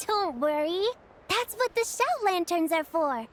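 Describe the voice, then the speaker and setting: A woman playing a cheerful, sensible little girl in a video game, explaining things in a patient, gentle way. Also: very high voice, big pitch swings, measured pace, exaggerated voice. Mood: calm, reassuring.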